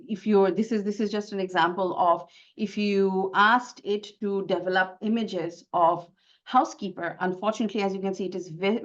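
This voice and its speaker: Woman, Bangladeshi accent, native Bengali speaker, webinar presenter, earnest and explanatory, repeats words for stress, mid-range voice, slightly muffled call audio. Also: mid-range voice.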